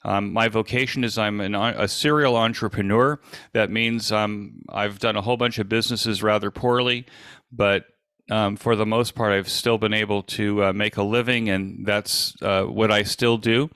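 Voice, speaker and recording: Man, sixties, American accent, fairly low pitch, dry room sound, informal presenter, conversational and halting. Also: fairly even intonation, a bit bright, clear, resonant tone, no room sound. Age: 60s. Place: indoors at a desk, on a video call.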